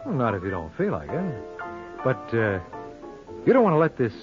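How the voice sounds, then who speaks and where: An actor playing a wry, quick-witted American lawyer sleuth in an old-time radio detective drama, with slangy, folksy wisecracks. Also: male voice, mid-range voice, huge pitch swings. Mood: explaining. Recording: dull old radio recording with music.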